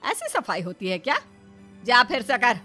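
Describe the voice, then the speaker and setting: A woman's voice: a little high, sing-song, normal speed, bright, forceful tone, faint music behind. A woman voicing a nagging, boastful mother-in-law who scolds and taunts in a family cartoon. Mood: scornful. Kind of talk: Hindi family cartoon voice acting.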